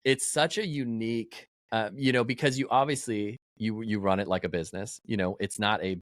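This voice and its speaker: Rambling, low-voiced male co-host. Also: fairly even intonation, no echo, clean studio sound. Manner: rambling, halting questions full of fillers. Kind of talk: casual interview chat.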